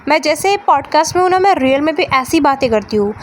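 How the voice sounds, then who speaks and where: A woman's voice: high voice, expressive, fast talker, a little hiss or hum. A young woman hosting a casual commentary podcast, talking in a chatty way with English words mixed in and frequent fillers. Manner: chatty, mixes English into Hindi, lots of fillers. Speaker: podcast host.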